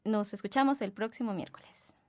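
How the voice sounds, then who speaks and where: A woman's voice: medium pitch, a little fast, dark tone, slight background noise. A woman radio host, chatting casually and hesitantly in a studio booth. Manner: hesitant, uses fillers and laughs.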